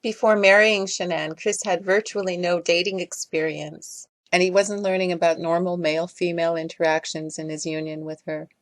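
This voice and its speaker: A woman's voice: lowish voice, little pitch movement, normal speed, few pauses, dry recording. A woman reading scripted commentary as a voice-over. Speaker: video creator doing voice-over commentary.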